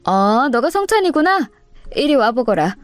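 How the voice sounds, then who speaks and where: A woman's voice: high-pitched, big pitch swings, normal speed, soft background music, pitched above the performer's own voice. A woman voicing a middle-aged female school office worker, motherly, chatty and exclaiming, in a narrated story. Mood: kindly. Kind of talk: acted dialogue in a narrated story.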